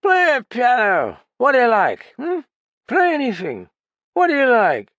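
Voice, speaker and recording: A man's voice: extremely high pitch, wildly swooping pitch, a little slow, a bit bright, no room sound, highs cut off, pitched above the performer's own voice, exaggerated voice. A man voicing a drunken, terrified older male pianist who talks in slurred, clipped fragments and pleads. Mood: drunk pleading. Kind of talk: audiobook character voice.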